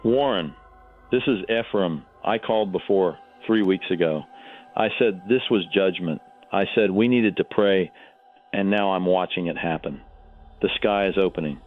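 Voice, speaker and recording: An actor playing a devout, awestruck radio caller who speaks with solemn, prayerful fear of judgment. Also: male voice, sits low, moderate pace, close to an everyday voice. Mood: awed foreboding. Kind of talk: radio drama acting, phone-in. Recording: tinny phone line over faint music.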